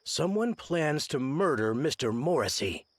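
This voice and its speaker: An actor playing an earnest, articulate gentleman private detective in a scripted mystery game. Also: on the high side, sing-song, measured pace, a little hiss or hum. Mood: earnest, intent. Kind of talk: scripted adventure game dialogue.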